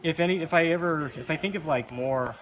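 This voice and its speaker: A man hosting an unscripted game demonstration, casually thinking aloud in a halting, rambling way. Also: a little high, slight background noise, low-quality recording. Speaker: video host. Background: arcade game music.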